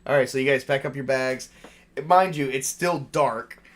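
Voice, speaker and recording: A man's voice: medium pitch, normal speed. Male game master, mid-range voice, informal tabletop narration, conversational delivery.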